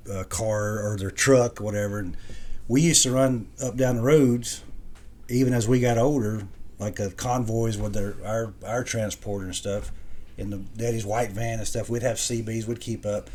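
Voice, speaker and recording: A man's voice: on the low side, steady tone, moderate pace, darkish tone. A middle-aged man with a Southern US accent, sharing memories in a plainspoken, folksy, casual chat.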